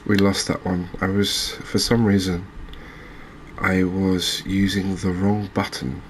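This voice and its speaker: A man hosting a gaming channel with soft-spoken ASMR commentary, talking in a gentle, unhurried, halting way. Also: deep voice, little pitch movement, slight background noise.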